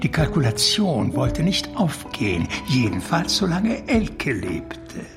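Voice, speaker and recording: An actor playing a cultured, anguished witness from the art world in a German radio drama, speaking in deliberate, formal phrases and justifying himself. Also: male voice, medium pitch, huge pitch swings, music in the background. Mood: anguished confessing.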